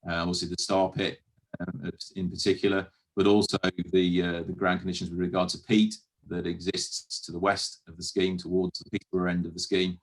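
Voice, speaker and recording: A British man speaking as a council officer in a formal meeting, explaining matters in a measured, careful way with some hesitant phrasing. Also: low-pitched, flat delivery, rough recording. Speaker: council officer presenting to a committee.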